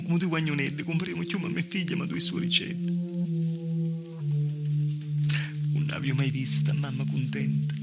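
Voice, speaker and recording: An actor playing a wry, plaintive Calabrian village mother in a theatrical monologue, with a southern Italian accent and a halting, confiding, folksy delivery. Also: fairly high pitch, vocal fry. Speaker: actor in a solo stage monologue. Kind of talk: theatrical storytelling monologue in dialect-inflected Italian. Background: live musical accompaniment.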